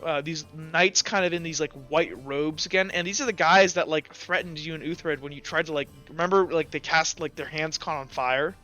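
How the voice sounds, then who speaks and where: A man's voice: fairly high pitch, fairly even intonation, moderate pace, few pauses, sharp tone, faint music behind. A man narrating and explaining a tabletop roleplaying game as game master in a rambling, self-correcting way.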